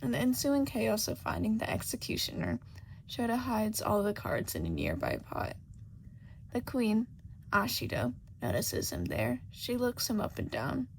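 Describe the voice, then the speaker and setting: A woman's voice: a little high, huge pitch swings, measured pace, creaky, low-quality recording. A woman reading a scripted story aloud as an amateur audiobook narrator. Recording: faint background noise.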